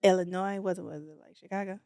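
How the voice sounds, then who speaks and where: A woman's voice: lowish voice, quick pace, no echo, studio quality. Slangy playful woman host. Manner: expressive, slangy, playful, swears freely. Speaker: interview host. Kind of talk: casual conversational interview.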